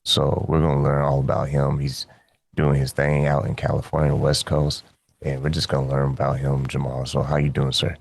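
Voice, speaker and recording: A man's voice: rumbling low, monotone, moderate pace, vocal fry, husky, no room sound. A man hosting a radio interview show in a laid-back, friendly way, often calling his guest "man". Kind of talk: casual interview chat.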